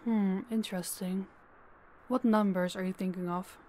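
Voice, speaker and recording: A woman's voice: medium pitch, fairly even intonation, normal speed, a little mellow, a little hiss or hum, lightly acted. A woman playing a demanding, businesslike female crime boss who speaks formally and with authority in a roleplay audio drama. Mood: measured, brisk.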